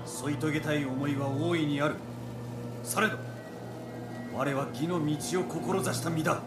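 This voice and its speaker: A man's voice: a little high, fairly even intonation, unhurried, echoey room, over music. A man playing an earnest, resolute samurai in a period video game, with formal, archaic, intense speech. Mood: solemn, determined. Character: earnest, resolute samurai warrior bound by duty.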